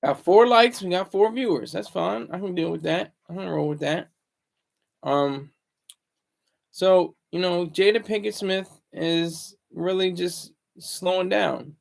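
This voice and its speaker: A man hosts a casual livestream from home, talking in a deliberately hushed, lowered voice. His tone is gravelly with a slightly raised pitch for a man, and he often stumbles and repeats words as he chats with viewers. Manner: deliberately low, hushed delivery. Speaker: livestream host. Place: home, room indoors.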